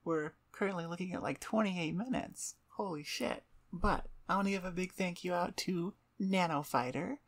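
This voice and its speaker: Woman, lowish creaky voice, casual rambling outro, informal, self-deprecating, close mic. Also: normal speed, few pauses. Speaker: ASMR voice performer, channel host. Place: on the floor in front of a computer desk. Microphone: microphone about twelve inches away at eye level.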